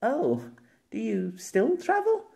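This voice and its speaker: An earnest young woman in a fantasy audiobook, as a male narrator puts her on, pitched high and swooping. She speaks in formal, old-fashioned phrases with eager little hesitations. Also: huge pitch swings, slow pace, gravelly, dark tone, exaggerated voice. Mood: earnest, inquisitive. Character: earnest, excitable, formal-spoken young woman from another world.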